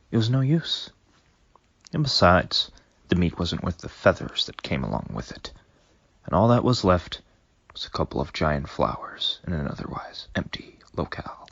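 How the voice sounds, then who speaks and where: A man's voice: sits low, very animated, moderate pace, creaky, fairly bright tone, slightly rough, no room sound, lo-fi sound. A man reading his own fanfic aloud as dramatic storytelling, sometimes dropping into whispers. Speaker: fanfic reader.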